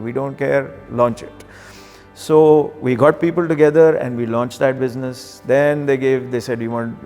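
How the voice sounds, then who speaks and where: A man's voice: mid-range voice, gravelly, a little mellow, in a large hall. A middle-aged man with a Pakistani accent, speaking conversationally as he reflects and tells his story in an interview. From Karachi, Pakistan. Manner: conversational, occasional Urdu phrases. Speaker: banker, interview guest. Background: background music.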